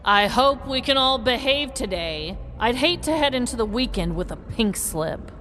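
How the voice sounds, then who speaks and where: A woman's voice: on the high side, expressive, moderate pace, fairly bright tone, a little hiss or hum. A woman voicing a frazzled, exasperated female school bus driver at the end of her rope in a comedic story. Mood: uneasy, pleading.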